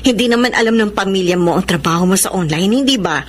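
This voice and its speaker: A woman's voice: medium pitch, animated, quick pace, a little hiss or hum, close to an everyday voice. A woman with a Filipino accent playing an older, greedy, coaxing pimp in a radio drama, stretching words persuasively. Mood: sly, coaxing.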